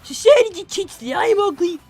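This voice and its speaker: A woman's voice: very high-pitched, lively intonation, a little fast, darkish tone, soft background music. A woman voicing a blunt, bossy five-year-old fox brother, needling in improvised cartoon-style banter.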